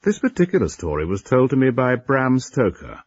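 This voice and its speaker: An actor playing a macabre storyteller host, narrating radio horror with ominous, theatrical deliberation. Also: male voice, mid-range voice, huge pitch swings, moderate pace, no room sound, muffled recording. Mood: macabre relish.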